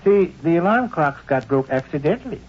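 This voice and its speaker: A man's voice: on the high side, big pitch swings, a little fast. An actor playing a fussy, stubborn middle-aged American father with wordy, formal phrasing in a 1940s radio comedy. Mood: mock-solemn. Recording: dull vintage radio recording.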